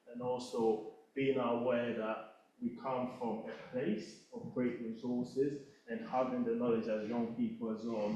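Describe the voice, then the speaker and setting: A man's voice: mid-range voice, darkish tone, lo-fi sound. Earnest man in an echoey hall. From Ghana. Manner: earnest, thinking aloud with fillers. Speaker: panel speaker. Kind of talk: unscripted reflective talk at an event. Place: event hall. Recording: echoey hall, speaker far from the mic.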